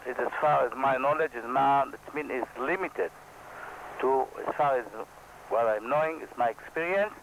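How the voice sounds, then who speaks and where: An Israeli man whose first language is Hebrew explains flying in plain, halting English, his voice set at a mid pitch. He restarts his sentences often and sounds earnest and modest. A faint hiss sits under his voice. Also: gravelly, fairly bright tone, rough recording. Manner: halting non-native English, frequent restarts, earnest and self-deprecating. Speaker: helicopter pilot and instructor. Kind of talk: casual instructional talk to camera.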